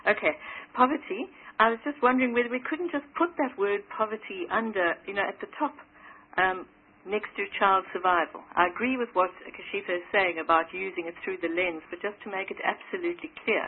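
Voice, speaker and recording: A woman's voice: medium pitch, fairly quick, husky. A woman speaks forthrightly and with emphasis in a group meeting discussion. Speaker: meeting participant. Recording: phone-like line with faint noise.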